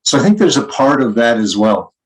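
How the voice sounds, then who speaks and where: A man with a medium-pitched voice chats as a podcast co-host. He speaks reflectively, working through ideas and personal anecdotes. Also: big pitch swings, a little fast, poor audio. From Canada. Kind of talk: conversational podcast discussion.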